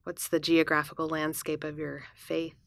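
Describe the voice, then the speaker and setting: A woman interviewer who asks gentle, reflective questions. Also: low voice, monotone, moderate pace, creaky, no echo.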